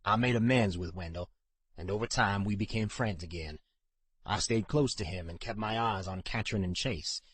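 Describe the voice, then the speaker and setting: A man voicing a brooding older man in an audiobook, telling of a painful past in a somber, measured way. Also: lowish voice, fairly bright tone, slight background noise, natural voice. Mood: somber, regretful. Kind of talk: audiobook character acting.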